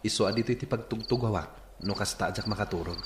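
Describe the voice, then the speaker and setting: A man's voice: on the low side, quick pace, background noise, lightly acted. Man playing an earnest Ilocano rebel comrade, echoey. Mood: troubled, pensive. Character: earnest male rebel comrade, anxious about future. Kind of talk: Ilocano radio drama acting.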